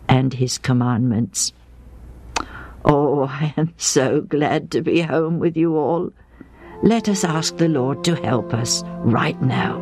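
Woman reading as a devout middle-aged father, solemn, steady, preacherly, lowish voice, soft music under it. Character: earnest pious Israelite father, middle-aged man.

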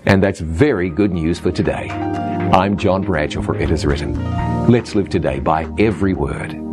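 Man, radio host, low-pitched voice, earnest preacherly delivery, dry studio sound. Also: a little mellow, no echo. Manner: earnest, preacherly, reads scripture aloud. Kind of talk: Bible question-and-answer radio talk.

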